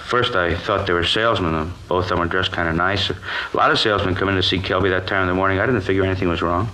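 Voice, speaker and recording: A man's voice: deep voice, fairly even intonation, quick pace, sharp tone, rough recording. A male actor playing a nervous, colloquial American kitchen worker giving a witness account in a 1950s radio crime drama. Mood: uneasy, cooperative. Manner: hesitant, colloquial, defensive. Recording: old radio recording with background hiss.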